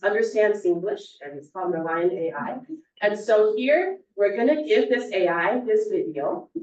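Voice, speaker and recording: A woman researcher giving a conference talk, explaining her work with frequent ums and restarts. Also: mid-range voice. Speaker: researcher presenting. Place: lecture hall. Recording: echoey hall, distant mic, dull.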